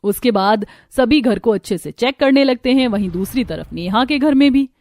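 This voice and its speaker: Female cartoon story narrator, higher-pitched, scripted delivery over background music. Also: normal speed, a little mellow.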